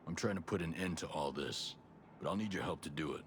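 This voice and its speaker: Very deep-voiced, steady special forces operative. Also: male voice, fast talker, background noise. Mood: calm, businesslike. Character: steady gruff male special forces operative, quietly threatening. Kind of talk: video game cutscene acting.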